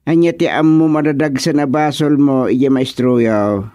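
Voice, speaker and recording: A male actor as a paternal village chairman with an Ilocano accent, advising and gently scolding in radio drama style. The voice sits in an ordinary male range and the recording is very dry. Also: medium pitch, fairly even intonation, normal speed, dry recording, close to an everyday voice. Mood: questioning, scolding.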